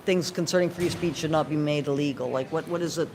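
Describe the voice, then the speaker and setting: A man with a high voice conducts a street interview. He asks calm, probing questions and keeps his replies short. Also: fast, a little hiss or hum. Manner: calm, probing, brief acknowledgements.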